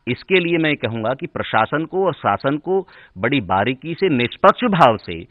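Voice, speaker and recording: A man giving a formal, measured statement in a news interview. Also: mid-range voice, big pitch swings. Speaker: organisation leader interviewed for news. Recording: dull lo-fi interview audio.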